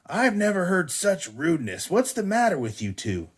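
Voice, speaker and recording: A man's voice: high-pitched, wildly swooping pitch, normal speed, husky, pitched above the performer's own voice, full character voice. A blustery papa bear from a storybook, read by a man, with a widely swooping voice. He is exasperated yet jolly, exclaiming at his family.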